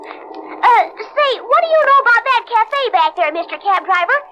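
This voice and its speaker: A woman's voice: very high-pitched, sing-song, normal speed, sharp tone, full character voice, rough recording. An actress playing a plucky, bossy young girl detective in old radio serial style, eager and exclamatory. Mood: puzzled, determined. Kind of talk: 1930s-40s radio serial acting. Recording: old radio recording with faint noise.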